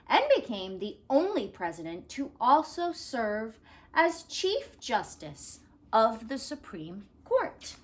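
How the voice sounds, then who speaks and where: Female storyteller for children's picture books, unhurried and highly animated delivery. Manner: animated, deliberate phrasing. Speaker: storyteller reading aloud to children. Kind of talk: expressive picture-book read-aloud.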